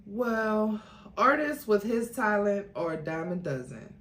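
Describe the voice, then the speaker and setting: A woman voicing an arrogant, wealthy man with a calm, smug, reassuring manner in a dramatic book reading. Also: medium pitch, unhurried, roomy sound, a little hiss or hum. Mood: smooth, charming.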